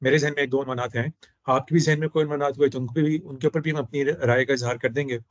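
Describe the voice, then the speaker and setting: Hoarse man hosting a measured discussion. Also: medium pitch, fairly even intonation, no room sound. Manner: measured, formal phrasing. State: hoarse throat. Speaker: host. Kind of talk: casual discussion. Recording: dull, dry lo-fi audio.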